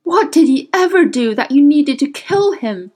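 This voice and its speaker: A woman's voice: on the high side, big pitch swings, normal speed, slightly rough. A woman voicing a furious, anguished young boy in a story, crying out in fierce accusation. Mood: angry demand.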